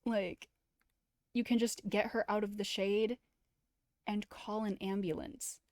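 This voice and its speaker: A woman talks casually as a game streamer, in a mid-pitched voice. She is informal and opinionated, often saying "like". The recording is dry and close. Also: level tone, slow pace, a little mellow, dry recording. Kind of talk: casual commentary and visual novel read-aloud.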